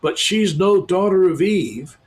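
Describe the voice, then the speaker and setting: A man reading aloud and voicing an excitable, earnest male beaver who talks emphatically. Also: high voice, measured pace, voice pushed up. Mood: eager.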